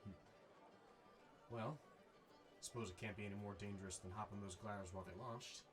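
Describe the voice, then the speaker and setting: Casting: male actor for wary job-for-hire drifter, low-pitched, dry and conversational. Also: steady tone, normal speed, music in the background, close to an everyday voice. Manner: casual, skeptical, conversational. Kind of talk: naturalistic film dialogue.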